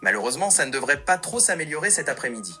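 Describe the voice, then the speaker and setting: A synthetic voice assistant explains things politely. Its tone is bright and lively. Faint music plays behind it. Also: medium pitch, rapid-fire.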